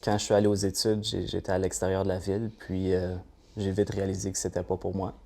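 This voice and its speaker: Low, flat-voiced man. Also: fast, vocal fry. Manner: calm, soft-spoken, hesitant fillers. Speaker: guitar maker. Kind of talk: casual documentary interview.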